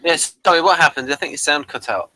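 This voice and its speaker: A man chatting casually as a podcast reviewer, in a hesitant, halting way. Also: on the high side, sharp tone.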